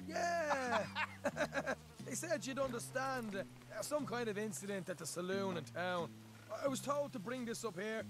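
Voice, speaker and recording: Bumbling irish lad, stammers and butters people up, high voice, real noisy, video game. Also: male voice, animated, unhurried. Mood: nervous, ingratiating. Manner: stammering, rambling, ingratiating. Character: young Irish outlaw bluffing as harmless delivery driver. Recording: very noisy outdoor game ambience.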